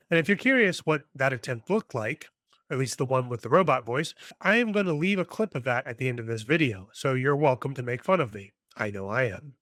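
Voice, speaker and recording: Man, slightly high voice, scripted video essay, wry, critical, articulate, dry room-free sound. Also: fairly bright tone, no room sound. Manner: wry, self-deprecating humor. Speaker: video essayist, TV episode reviewer.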